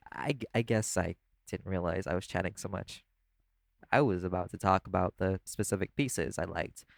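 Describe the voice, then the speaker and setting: A man playing a low, creaky-voiced scholarly vampire. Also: no echo, heavily acted. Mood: amused, tender. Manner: rambling, scholarly, informal asides. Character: male vampire, soft-spoken, opinionated art lover soothing a sleeper. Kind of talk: intimate sleep-aid audio roleplay monologue.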